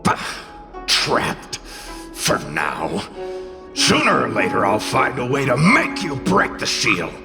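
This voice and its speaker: A menacing little demon spirit from an anime game. The voice is gravelly and growling, slow to threaten, with wild swings in pitch. He sounds surly and scornful throughout. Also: wildly swooping pitch, music in the background, full character voice. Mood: menacing, resentful. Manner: grumbling, threatening. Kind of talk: anime-style video game voice acting.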